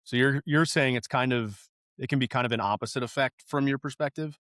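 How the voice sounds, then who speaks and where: A man's voice: medium pitch, brisk, a bit bright, no room sound, studio quality. A man hosting a podcast, asking questions in a casual, conversational interview style. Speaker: podcast host.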